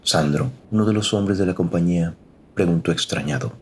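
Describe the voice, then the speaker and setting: Casting: male narrator for scripted suspense fiction, very deep bass, faint rumbly noise behind. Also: a little fast, a little mellow. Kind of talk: scripted dramatic story narration.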